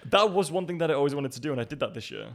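A man's voice: a little high, lively intonation, rapid-fire. A man in his twenties in a casual chat, talking loosely and laughing often. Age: 20s.

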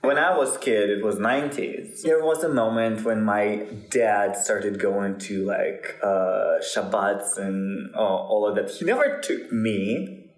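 A man's voice: on the low side, roomy sound. A man who grew up in Russia and has Russian as his first language, talking hesitantly as an interview guest. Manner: hesitant, many "uh" pauses. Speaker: guest, drag performer.

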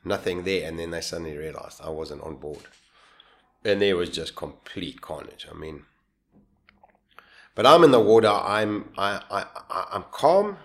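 A man with a fairly low voice tells his story in a relaxed interview. He talks animatedly, stumbling and restarting mid-sentence and laughing at himself now and then. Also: normal speed. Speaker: guest telling a survival story.

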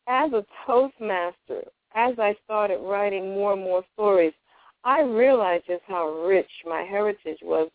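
A woman's voice: mid-range voice, dry recording. A woman from Honduras, a guest speaker telling her life story on a radio talk show. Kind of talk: radio interview. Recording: tinny phone-like radio audio.

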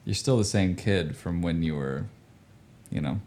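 A man's voice: deep voice, measured pace, a little mellow. A man in his forties from Indonesia, chatting casually about music in an informal, slangy, storytelling way. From grew up overseas in Indonesia. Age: 40s.

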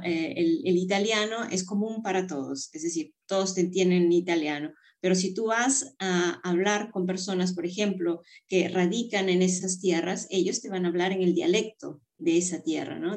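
A woman's voice: fairly low pitch, a little mellow. A woman talking as a panel guest, explaining things conversationally and often tagging phrases with a short checking question.

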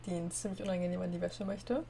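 A woman with a cold hosting a podcast and reading a story aloud in a steady, plain way. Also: on the low side, fast talker, creaky, background noise. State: has a cold. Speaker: narrator and host.

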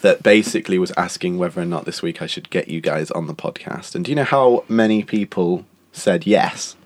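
A man's voice: low-pitched, fairly quick, no room sound. A young man hosting his podcast in a casual, chatty way, laughing between phrases and carrying a lingering cough. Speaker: podcast host. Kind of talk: casual chat. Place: indoors at a countryside house.

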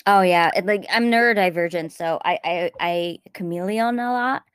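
A woman's voice: on the low side, normal speed, clear, resonant tone, no echo, studio quality. A woman with an American-influenced English accent, co-hosting a podcast in casual, chatty talk that wanders off on tangents and restarts sentences often. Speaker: podcast co-host.

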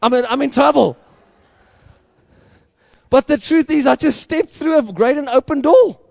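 A middle-aged South African man preaches with a high, ringing voice, stacking repeated phrases into loud, emphatic climaxes. His Afrikaans-rooted accent colors every line, and the sound is close and dry with no room around it. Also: unhurried, vocal fry, no room sound. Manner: passionate preaching, rising to loud emphatic peaks; repetitive rhetorical build-ups. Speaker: pastor. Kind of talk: sermon.

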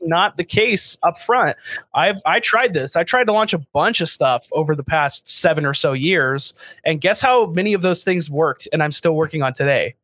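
A talkative American man in his late twenties, guest on a podcast, pitched a little above most men. He rambles casually through fillers and restarts, poking fun at himself. The sound is dry and close, with no room around it. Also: moderate pace, a bit bright, dry recording. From United States. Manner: chatty, self-deprecating, many fillers and restarts. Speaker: podcast guest, startup founder. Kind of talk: casual interview talk.

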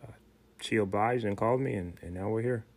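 A man from Chicago with a low, gravelly voice talks in a loose, rambling way. He leans on fillers as he explains things. His tone is casual and conversational. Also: fairly quick. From Chicago, Illinois. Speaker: interviewee, husband. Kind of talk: casual chat.

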